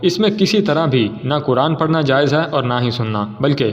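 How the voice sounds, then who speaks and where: A man's voice: a little high, animated, a little fast. Male narrator for formal documentary storytelling, dull sound drenched in long reverb.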